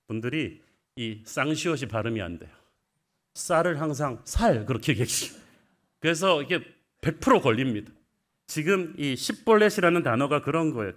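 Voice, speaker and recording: A man's voice: on the high side, fairly bright tone. A middle-aged man from the Gyeongsang region, preaching a sermon that retells scripture as a vivid story. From Gyeongsang, South Korea. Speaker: pastor.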